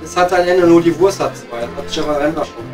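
A cheeky greying miner from a comedy audio play, acted by a man, heard a little away from the mic. He rambles colloquially in a Ruhr accent, in a slightly high voice, with music playing behind. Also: fast talker, natural voice. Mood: chatty. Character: cheeky, girl-chasing greying male coal miner in a pub. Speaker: comedy performer. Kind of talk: improvised audio comedy, casual pub banter. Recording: distant from the microphone.

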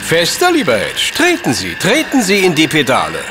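An actor playing a brilliant, pedantic professor detective in a German audio drama, speaking in precise, formal, commanding sentences. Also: male voice, fairly high pitch, huge pitch swings, moderate pace, over loud music.

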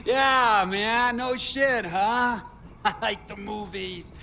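An actor playing a lonely, jittery war veteran obsessed with movies in a video game, halting and rambling, eager and pleading. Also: male voice, sits high, gravelly, sharp tone, a little hiss or hum, heavily acted. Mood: excited. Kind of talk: naturalistic video game acting.

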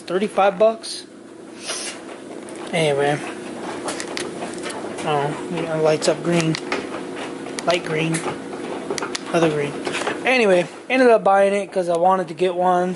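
A middle-aged man rambles slowly through a casual haul vlog, trailing off and correcting himself as he goes. He is filming in his home garage on a handheld camera. There is a steady hiss of noise under the voice. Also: sits high. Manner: rambling, slow and offhand, with many fillers and self-corrections. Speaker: channel host. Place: home garage workshop, indoors. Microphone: handheld camera mic, filming point of view.

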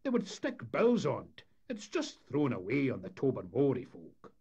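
A man's voice: medium pitch, huge pitch swings, a little slow, dark tone, husky, dull audio, pitched above the performer's own voice, heavily acted. A man voicing a talkative, wondering Scottish ship's mate who exclaims his way through a humorous storybook tale. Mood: regretful.

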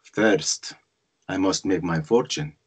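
A man's voice: low-pitched, slow pace, breathy edge, exaggerated voice. A man playing an ambitious, wry young Egyptian immigrant freshman, narrating his own story in a screenplay table read over a video call. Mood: confident, musing. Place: indoors at home, group video call. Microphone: computer or headset on video call.

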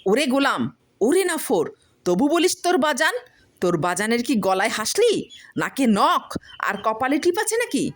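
A woman's voice: sing-song, a little slow. Woman doing a fiery jealous farmer's wife in a folk tale, scolding away, voice jumping all over. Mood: furious scolding. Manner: scolding, shrill exclaiming. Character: jealous, hot-tempered village wife of a farmer. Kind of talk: dramatized storybook reading.

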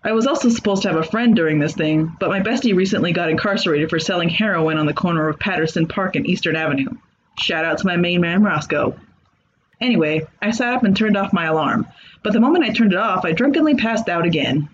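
A man's voice: sits high, expressive, fast, lo-fi sound, slight background noise. A man reading a comedic horror story aloud with a dry, sarcastic delivery. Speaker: narrator. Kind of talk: comedic creepypasta narration.

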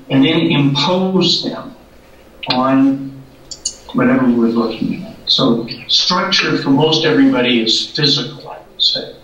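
A man giving a lecture with slides, halting and repeating words as he thinks, recorded from a distant camera in a lecture room. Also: medium pitch, fairly bright tone, heavy reverb, dull audio.

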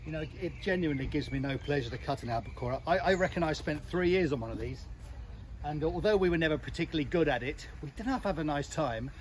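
A man's voice: a little high, animated, fast talker, rough recording. Chatty middle-aged man working in an outdoor boatyard. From England. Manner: chatty and candid, thinking aloud while working. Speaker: boat breaker. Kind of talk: hands-on yard vlog. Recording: outdoor noise with birds and traffic.

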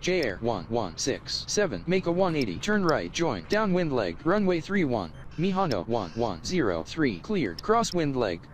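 A man's voice: medium pitch, wildly swooping pitch, moderate pace, pauses often. A man's synthetic-sounding voice giving scripted air traffic control instructions in stitched-together phrases. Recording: faint background noise.

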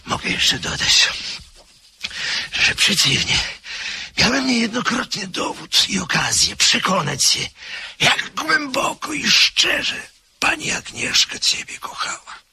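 A man's voice: extremely high pitch, big pitch swings, slow pace, gravelly, bright, forceful tone, slight background noise, heavily acted. An actor playing a nervous, earnest man in a radio play, pleading and agitated.

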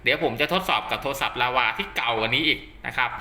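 A man's voice: medium pitch, fast, sharp tone. A man talking casually through a hands-on product test, explaining step by step in a reassuring, instructional way. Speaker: host of a radio gear channel. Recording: roomy echo.

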